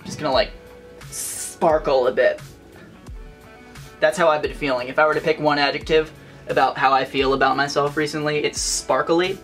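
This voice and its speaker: A man's voice: on the high side, fairly even intonation, moderate pace, pauses often, music in the background. Man with a light, feminine-sounding voice. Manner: chatty, emphatic, playful ranting. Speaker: vlogger. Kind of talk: casual opinion vlog.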